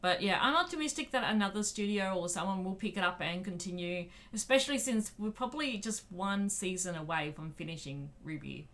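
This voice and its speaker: A woman's voice: mid-range voice, a little fast, hardly any pauses, a bit bright. A woman co-hosting a podcast in a casual fan discussion. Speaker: podcast co-host.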